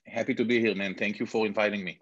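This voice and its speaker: A man's voice: fairly low pitch, steady tone, quick pace, slightly rough. A man in his forties from Israel with an Israeli accent, the guest entrepreneur, talking casually with self-mocking asides and many restarts. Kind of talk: casual interview chat. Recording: dull muffled lo-fi sound.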